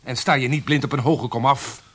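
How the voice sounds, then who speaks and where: An actor playing a young well-born gentleman in a Dutch historical radio drama, sociable and frank, chiding a friend. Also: mid-range voice, steady tone, fairly quick, breathy edge. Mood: exasperated, advising.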